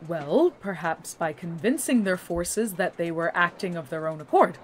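An actress playing an earnest young scholar, speaking in formal, precise, methodical phrases for a fantasy audio drama. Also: female voice, fairly low pitch, lively intonation, moderate pace, a little hiss or hum, lightly acted. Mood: intent. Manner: formal, precise, bookish wording.

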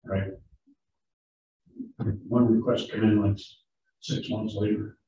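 A man chairing a town board meeting, briskly reading resolutions and dollar figures in a matter-of-fact way. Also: on the low side, level tone, warm tone. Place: large town hall meeting room. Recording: distant echoey hall audio, thin phone-like sound, faint hiss.

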